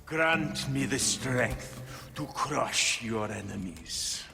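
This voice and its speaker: An actor playing a fanatical village chief and cult priest in a video game, intoning a solemn prayer in a gravelly voice. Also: male voice, medium pitch, lively intonation, exaggerated voice. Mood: solemn devotion. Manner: solemn, slow, drawn-out invocation. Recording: long hall reverb, music over voice.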